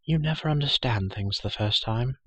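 A man's voice: mid-range voice, moderate pace, bright, forceful tone, slightly rough, no echo, pitched above the performer's own voice. A man voicing an irritable, haughty young girl in an audiobook, scolding in a drowsy murmur. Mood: drowsy irritation. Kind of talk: audiobook character voice.

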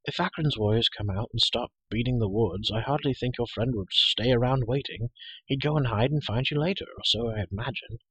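A man voicing a brisk, self-assured, talkative young girl in a storybook, prim and matter-of-fact. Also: medium pitch, fairly bright tone, slightly rough, no echo, rough recording. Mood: musing, sensible.

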